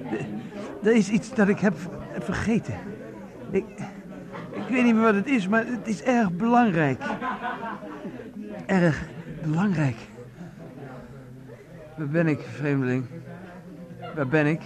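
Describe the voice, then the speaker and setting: Anxious drunk villager: high-pitched, slow and stumbling, radio drama. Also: male voice, crowd noise. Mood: dazed, confused. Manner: halting, stammering, repeating himself. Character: drunk, dazed village man, anxious and confused. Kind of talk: Dutch radio play acting.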